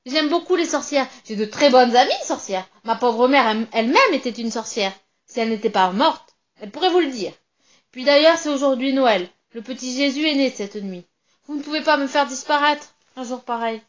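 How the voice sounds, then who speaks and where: A woman's voice: sits high, lively intonation, normal speed, muffled recording. A woman voicing a scared man who stammers excuses and begs a witch for mercy. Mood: pleading. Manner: begging and stammering. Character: frightened man pleading with a witch.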